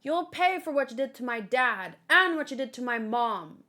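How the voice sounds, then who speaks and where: A woman's voice: sits high, big pitch swings, moderate pace, pushed and bright, heavily acted. A woman voicing a vengeful young daughter from a manga, defiant and dramatic. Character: vengeful young daughter defying cruel rulers.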